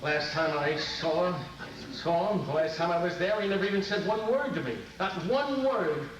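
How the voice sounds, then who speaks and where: A man's voice: high-pitched, normal speed, over music, close to an everyday voice. A man playing a fretful middle-aged husband who repeats himself plaintively in a live old-time radio comedy sketch. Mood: shocked, aggrieved. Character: fretful middle-aged husband fixated on his lost barber. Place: convention stage before a live audience. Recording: echoey hall, distant mics, noisy.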